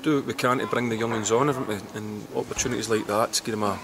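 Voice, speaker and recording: A middle-aged man, a football manager giving a post-match interview outdoors, halting and repeating words as he thinks. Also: on the low side, fairly even intonation. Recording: loud outdoor background noise.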